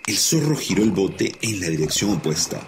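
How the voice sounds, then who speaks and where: A man narrating a children's animated folk tale as a storyteller. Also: low voice, normal speed, background noise. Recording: music under the voice.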